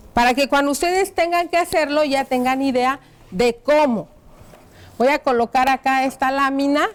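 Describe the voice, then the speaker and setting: A woman teaching a primary school lesson on educational television, explaining patiently and often checking in with her pupils. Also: high voice. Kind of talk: educational TV class, addressing pupils.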